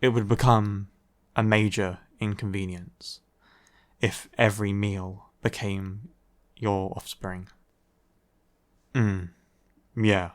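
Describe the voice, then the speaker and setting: Male actor as grumpy vampire, low-pitched, monotone, deadpan, curt, intimate roleplay delivery. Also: slow pace. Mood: reluctantly sympathetic, explanatory. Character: grumpy young male vampire, curt, sardonic, reluctantly caring. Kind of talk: audio roleplay, intimate ASMR-style acting.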